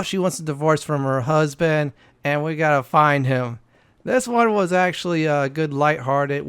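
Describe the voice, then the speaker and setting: A man hosting a gaming walkthrough with casual commentary, halting often with filler uhs. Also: on the high side, creaky, smooth, no echo. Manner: halting, hesitant delivery with frequent uhs.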